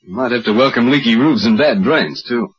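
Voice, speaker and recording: An actor playing a reluctant, wary husband who grumbles drily, in old-time radio drama style. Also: male voice, mid-range voice, brisk, background noise, lightly acted. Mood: grumbling. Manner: dry, grumbling, expressive. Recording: dull lo-fi old radio recording.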